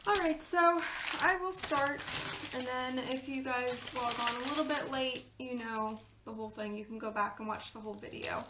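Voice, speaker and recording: A woman's voice: sits high, moderate pace. A woman from Pennsylvania hosting a casual live craft tutorial, chatty and rambling, losing her voice. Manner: chatty, rambling with fillers and asides. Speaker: craft video host. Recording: echoey room with background noise.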